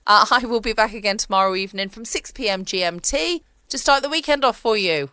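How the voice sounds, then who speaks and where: A woman's voice: medium pitch, fairly quick, sharp tone, smooth, no room sound. A woman hosting a podcast from home in a casual, playful style. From England. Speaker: podcast host. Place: at home, dry close sound.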